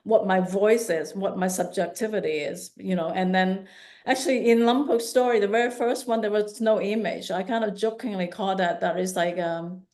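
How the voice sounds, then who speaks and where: A woman's voice: medium pitch, normal speed, darkish tone. A woman in her fifties from Hong Kong answering questions in a conversational artist talk, thinking aloud and correcting herself as she goes. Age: 50s. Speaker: visual artist giving an artist talk.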